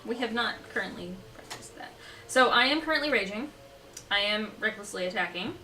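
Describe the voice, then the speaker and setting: A woman's voice: medium pitch, expressive, fairly quick, pushed and bright. A woman playing a tabletop roleplaying game, talking casually through her moves and dice rolls. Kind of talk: casual chat during a game. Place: home, around a gaming table. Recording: noisy, echoey room, distant mic.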